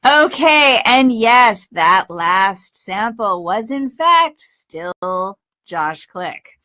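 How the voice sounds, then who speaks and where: A woman hosting as a voice-over coach, teaching casually and informally with animated emphasis. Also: mid-range voice, drawn-out words.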